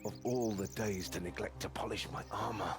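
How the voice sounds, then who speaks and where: A fairly low male voice from an actor as a noble knight in a fantasy video game. He is courteous, devout and earnest, with formal chivalrous phrasing. Loud music runs behind the voice. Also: fairly even intonation, moderate pace, lightly acted. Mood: awed. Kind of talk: scripted fantasy game acting.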